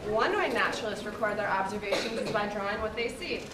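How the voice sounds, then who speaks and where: A woman's voice: mid-range voice, animated, fast talker, close to an everyday voice. A young woman voicing a regal, boastful, teasing sea anemone queen in a live children's puppet show. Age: young adult. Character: haughty, playful female sea anemone queen. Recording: echoey hall, distant mic, noisy background.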